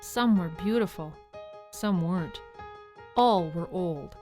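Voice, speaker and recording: A woman narrating a scripted children's story in a measured way with dramatic pauses. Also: fairly low pitch, darkish tone, faint music behind.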